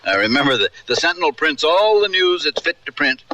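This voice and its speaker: A man's voice: on the high side, sing-song, brisk, bright, forceful tone, far from the mic, slight background noise, low-quality recording. A man playing a breezy, nosy Old West reporter in a radio drama, talking in a folksy, wry way.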